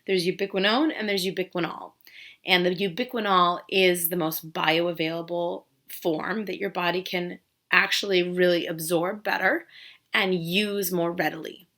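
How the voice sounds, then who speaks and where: A woman in her thirties hosts her own fertility vlog, slightly hoarse because she is losing her voice. Her voice sits a little low but comes across bright and forceful. She explains things earnestly, with emphatic phrasing. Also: steady tone, normal speed. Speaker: fertility channel host. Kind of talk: educational vlog talk.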